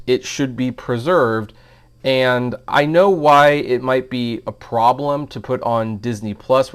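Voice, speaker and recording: A man's voice: on the low side, clean tone. A man hosting a film review, talking informally and giving his opinions in a conversational commentary style.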